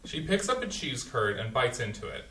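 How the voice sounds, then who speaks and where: A man's voice: medium pitch, big pitch swings, normal speed, fairly bright tone. Man reading stage directions aloud. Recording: echoey, distant stage sound.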